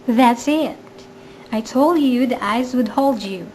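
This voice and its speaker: Woman acting a slow, high-voiced, coldly scheming murderess. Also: a little mellow. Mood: coaxing. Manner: coaxing, smoothly reassuring, deliberate. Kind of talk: amateur radio drama acting. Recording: faint background noise, amateur recording.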